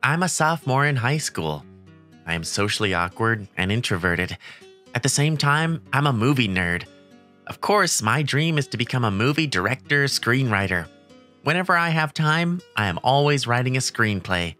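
A narrator reads a first-person romantic comedy story for a manga dub. The voice is bright and animated, of middle pitch, with soft music behind it. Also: normal speed.

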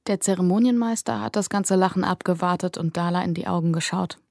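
Calm woman narrating a fantasy audiobook. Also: on the low side, quick pace, dry recording, clean studio sound.

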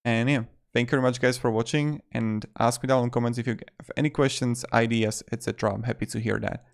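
A man's voice: medium pitch, fairly even intonation, quick pace, creaky. A man in his twenties narrating a casual review as a video host, with loosely worded phrasing, speaking close to a desktop microphone in a room. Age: 20s. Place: small indoor room. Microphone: desktop microphone close in front of him.

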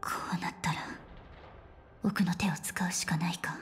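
An actress voicing a decisive woman commander who gives firm, brisk orders. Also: lowish voice, steady tone, whispered, natural voice. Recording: game audio with faint music.

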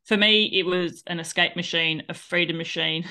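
Aussie woman on a headset, rambling friendly storytelling, kinda low voice. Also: steady tone, moderate pace, sharp tone. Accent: Australian. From Australia. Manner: chatty, long-winded storytelling with laughs. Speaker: guest, cycling tour business owner. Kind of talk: casual video call interview. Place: small room at home. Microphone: headset on a video call.

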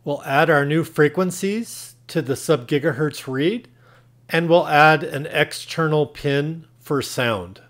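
A man's voice: a little high, slow, fairly bright tone. A man hosting an instructional tutorial, narrating step by step in a plain, methodical way. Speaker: tutorial host.

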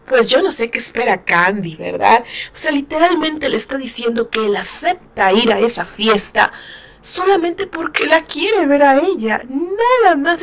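A woman with a northern Mexican accent chatting casually about a novel she is reading, giggling and sighing as she comments. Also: on the high side. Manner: giggly and expressive, sighing often. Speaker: book reaction host.

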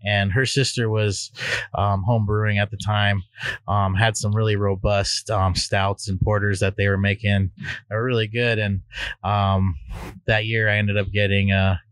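A man in his forties, a head brewer, answering questions in a soft-spoken, hesitant way with many ums. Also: fairly low pitch, little pitch movement, moderate pace, smooth, dry recording. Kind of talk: interview answers. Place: brewery floor. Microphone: studio microphone on arm.

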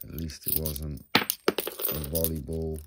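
Very deep, croaky British man. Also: little pitch movement, slow pace. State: slightly croaky from a sore throat. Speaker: host of a coin hunting channel. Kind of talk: casual hobby vlog commentary. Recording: noisy home recording with crinkling bag sounds.

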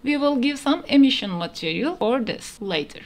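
A woman's voice: fairly high pitch, lively intonation, normal speed. A woman narrating a step-by-step 3D modeling tutorial in plain, instructional phrasing, recorded as a voice-over. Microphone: voice-over over screen recording.

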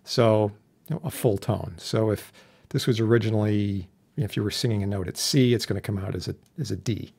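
Male instructor, low-pitched and raspy, informal screen-tutorial delivery with plain explanations. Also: a little fast, a little mellow. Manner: informal, explanatory with homely analogies. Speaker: instructor demonstrating audio software. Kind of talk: casual software tutorial narration. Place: indoor voice-over for a screen recording.

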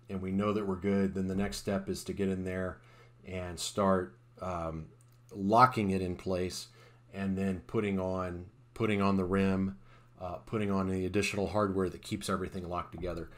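A man, an installer, talking through the steps of a job in a plain, technical voice-over, with frequent uh's and restarts. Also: low voice. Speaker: sport court installer. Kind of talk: voice-over explaining a time-lapse install.

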